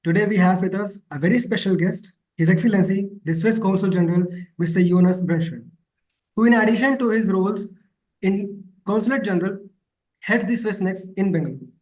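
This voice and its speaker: A man's voice: high-pitched, brisk, a little mellow, rough recording. A man hosting an interview in a formal, deferential manner. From north India. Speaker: interview host.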